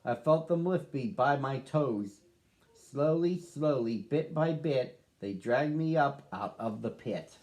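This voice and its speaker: A man reading a rhyming picture book aloud to children, with a slow, sing-song rhythm. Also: medium pitch, expressive, darkish tone. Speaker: storybook reader.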